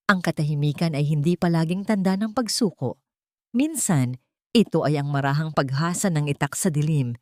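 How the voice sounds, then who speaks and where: A woman's voice: low voice, darkish tone, no echo, clean studio sound. A woman narrating a scripted first-person dramatic audiobook in a solemn, suspenseful manner.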